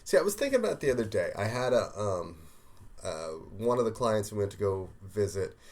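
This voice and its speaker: A man with an American accent, a podcast co-host telling stories in casual chat, with quick asides and frequent restarts. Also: on the low side, very animated, darkish tone.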